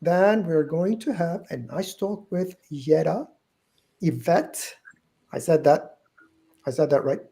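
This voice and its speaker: A man's voice: fairly high pitch, slow, vocal fry, long pauses, warm tone. A middle-aged man with a Turkish accent hosting a live book-sales stream, chatty and joking, correcting himself as he goes. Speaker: show host and company founder. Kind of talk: live-stream show introduction.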